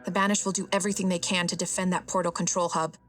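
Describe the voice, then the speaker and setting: A woman's voice: on the low side, level tone, fast, a bit bright, faint music behind, natural voice. A woman playing a composed, efficient military intelligence officer in a video game, giving businesslike briefings. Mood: serious.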